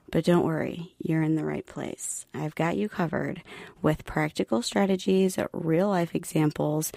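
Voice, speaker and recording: Middle-aged woman host, low gravelly monotone, calm and even, dry close mic. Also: dry recording. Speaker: podcast host. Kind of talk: solo podcast talk. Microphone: home podcast mic.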